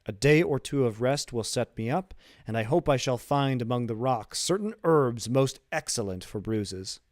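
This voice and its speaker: A man's voice: medium pitch, lively intonation, normal speed, no room sound, close to an everyday voice. Guy doing an injured sailor from a classic novel, formal and insistent, really dry recording. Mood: earnest, grateful. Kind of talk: audiobook character dialogue, literary.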